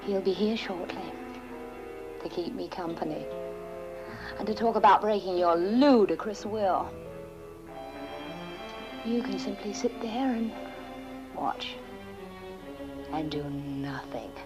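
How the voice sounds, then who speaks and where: An actress playing a scornful, bitter aristocratic widow, middle-aged, with a haughty, deliberate manner. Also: female voice, medium pitch, lightly acted. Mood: bitter, mocking. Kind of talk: theatrical film acting. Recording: old film soundtrack with music behind.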